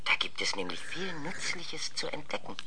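An actor playing a self-assured man giving a measured, formal, oratorical statement in a radio drama, with a husky voice. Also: a little high, big pitch swings, heavy background noise.

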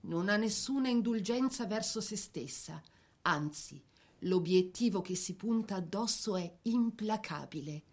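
A woman narrating a scripted biographical drama in an expressive, measured storytelling manner. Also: mid-range voice.

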